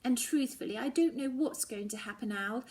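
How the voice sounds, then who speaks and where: A woman voicing a gentle, earnest mother who speaks in a soft, breathy, soothing and hushed manner in a storybook reading. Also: a little high, moderate pace, pitched above the performer's own voice, natural voice. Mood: reassuring. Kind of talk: dramatic children's novel reading.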